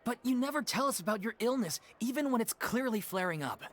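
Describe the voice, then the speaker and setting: A man voicing a caring, worried theatre troupe director in a video game, gentle and concerned. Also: very high voice, animated, quick pace, a little hiss or hum.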